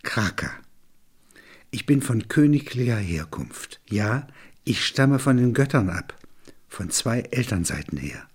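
An actor playing a proud saga character of royal, divine descent in a radio drama, husky and halting. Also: male voice, mid-range voice, big pitch swings. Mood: solemn, intense. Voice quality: husky, breathy.

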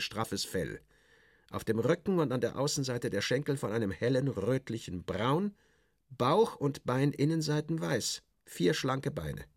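Deep-voiced man narrating with very lively intonation. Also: dry recording, clean studio sound. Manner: wry, theatrical, clipped phrases. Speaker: narrator in character as a journalist. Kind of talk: dramatic first-person literary narration.

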